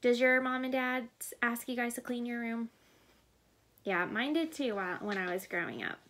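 A young woman, a pediatric therapist, reading a picture book aloud to children and asking them questions, recorded in a small living room at home. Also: fairly high pitch. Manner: warm, slow, teacherly, asks kids questions. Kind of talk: children's story time read-aloud.

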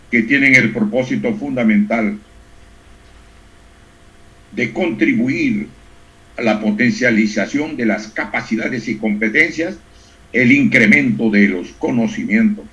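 An older man gives a formal closing speech in a deliberate, oratorical manner, in short measured phrases. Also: mid-range voice, fairly bright tone. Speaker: education institute director giving a closing speech. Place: indoors on a group video call. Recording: muffled, lo-fi video call audio.

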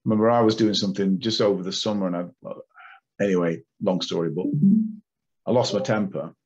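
Low husky man chatting casually. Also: steady tone, a little fast, a little mellow, muffled recording. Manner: chatty, rambling, with filler words. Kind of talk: casual chat.

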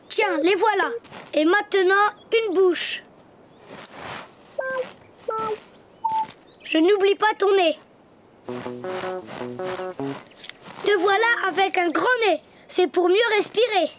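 A woman's voice: extremely high pitch, a bit bright, a little hiss or hum. A woman voicing a cheerful, imaginative little boy donkey in a French preschool cartoon, playfully talking to himself. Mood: eager, playful. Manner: playful, self-narrating, exclaiming.

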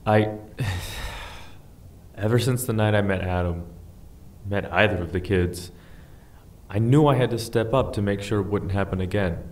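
Man acting soft, earnest husband, slightly deep. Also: unhurried, pauses often, close to an everyday voice. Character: gentle, reassuring military husband and stepfather. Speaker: voice actor in audio drama. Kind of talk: naturalistic audio drama acting.

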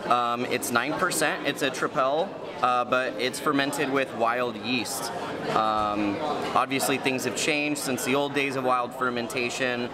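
A man's voice: mid-range voice, fairly even intonation, unhurried. A man in his thirties casually reviewing beers at a brewery cafe, chatty and enthusiastic with lots of fillers. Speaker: beer reviewer. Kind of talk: casual beer tasting review. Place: busy brewery cafe indoors. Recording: loud cafe crowd behind. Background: crowd chatter.

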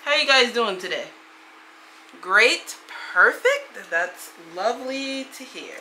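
A woman's voice: fairly high pitch, animated, slow pace, sharp tone, slight background noise, low-quality recording. A young adult woman chatting casually and animatedly as she hosts a reaction video, recorded indoors in a small room.

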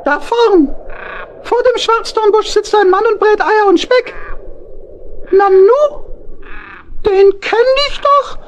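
A woman's voice: very high-pitched, takes it slowly, pauses often, a little hiss or hum. A woman voicing a cheerful, eager little hedgehog in a German children's audio play, exclaiming with singsong wonder. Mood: curious, excited.

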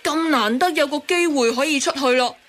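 A woman's voice: high voice, a little fast, a bit bright. An actress playing an outspoken, sensible woman in a radio drama, chiding and explaining in a Hong Kong Cantonese accent. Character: outspoken, sensible woman who scolds gently.

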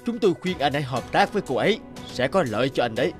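A man's voice: mid-range voice, very animated, fast, loud music behind the voice, lightly acted. A man voicing a burly prison lieutenant who speaks formally and officially in a dubbed cartoon. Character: burly male prison lieutenant, stern but polite.

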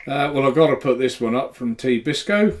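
A man's voice: medium pitch, animated, fairly quick. Chuckling older Norfolk man on a webcam call. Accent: Norwich. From Norfolk, England. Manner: chuckling, hesitant, reads viewer comments aloud. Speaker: co-host of a vaping live show. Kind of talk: casual live-stream chat. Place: home room, indoors.

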